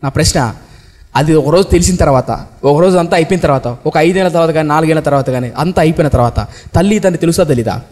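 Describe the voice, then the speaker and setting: A male pastor preaching a fiery sermon, throwing out rhetorical questions and swinging between loud outbursts and low, heavy lines. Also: quick pace, in a large hall.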